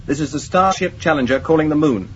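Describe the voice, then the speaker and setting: Commanding starship captain, slightly high male voice acted. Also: fast, lightly acted. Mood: formal, urgent. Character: forceful young male starship commander, authoritative, impatient. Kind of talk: 1980s British radio science fiction acting. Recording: dull, faintly noisy old radio recording.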